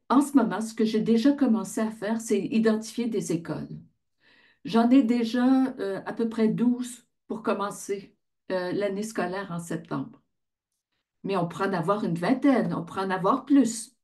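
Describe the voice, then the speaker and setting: A woman's voice: mid-range voice, dark tone. A woman giving an informal explanatory presentation, conversational, asking questions and answering them herself. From Ottawa region, Canada. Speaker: founder of a volunteer reading association, presenter.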